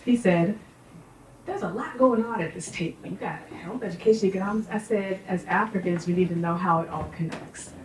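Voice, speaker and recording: A woman's voice: mid-range voice, fairly quick, crowd noise. A middle-aged woman with an American accent giving an informal, emphatic and instructive talk to a community audience. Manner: emphatic, instructive, checks in with listeners. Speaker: speaker, sociology professor giving a presentation. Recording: roomy, distant microphone with faint background noise.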